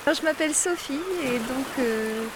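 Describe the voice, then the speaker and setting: A woman's voice: high voice, normal speed. Chatty woman recalling islands, noisy outdoors. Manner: chatty, reminiscing with hesitations. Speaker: volunteer housekeeper at a sailing base. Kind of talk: casual interview.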